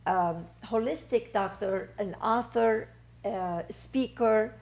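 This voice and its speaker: A woman's voice: medium pitch, slow pace, vocal fry, darkish tone, low-quality recording. A woman hosting an interview show, introducing and questioning her guest in an encouraging way, with frequent fillers. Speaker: talk show host. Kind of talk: interview host.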